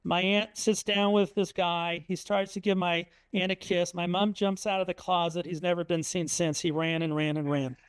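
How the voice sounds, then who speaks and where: A man's voice: high-pitched, steady tone, moderate pace, few pauses, clear, resonant tone, dry recording. A middle-aged man from Indiana giving a conversational talk with slides, telling family anecdotes with frequent ums and uhs. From Indiana, USA. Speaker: author speaking at a museum talk. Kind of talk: storytelling presentation with slides.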